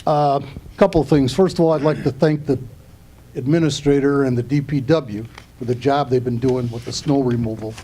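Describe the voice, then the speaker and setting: A man's voice: mid-range voice, steady tone, moderate pace, warm tone, slight background noise. An older man giving public comment at a council meeting, rambling and earnest, a bit aggrieved. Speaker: resident speaking at public comment.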